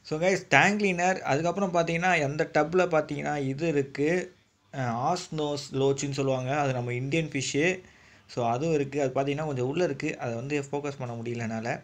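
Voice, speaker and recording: A man giving casual, enthusiastic vlog commentary as a channel host, often addressing his viewers directly while filming by hand. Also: fairly high pitch. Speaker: vlogger, aquarium channel host.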